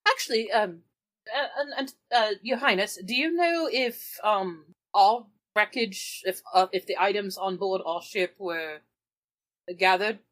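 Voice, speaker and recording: A woman's voice: mid-range voice, measured pace, fairly bright tone. A woman playing a tabletop game, chatting casually in character in a polite, tentative way. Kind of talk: casual in-character improvised chat.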